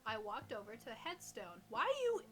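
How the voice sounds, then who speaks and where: Lively, bright-voiced young woman. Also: on the high side, normal speed, soft background music. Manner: giggly, teasing, irreverent. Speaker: co-host reading listener stories. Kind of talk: casual chat reading creepy stories aloud.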